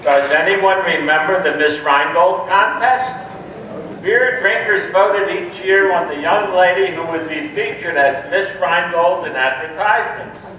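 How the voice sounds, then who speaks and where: Guy announcing a nostalgia radio show, bright forceful voice, way off from the mic in a big echoey room. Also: sits high. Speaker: announcer and narrator of a stage show. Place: echoey hall, far from the microphone. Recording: distant, very echoey stage, faint noise.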